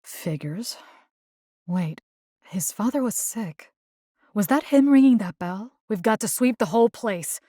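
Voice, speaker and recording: A woman's voice: mid-range voice, lively intonation, unhurried, warm tone, no room sound, clean studio sound. An actress voicing a wry, determined, compassionate young woman who hunts monsters in a video game, speaking conversationally with muttered asides. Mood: quiet, troubled, then uneasy surprise. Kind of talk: video game character voice lines.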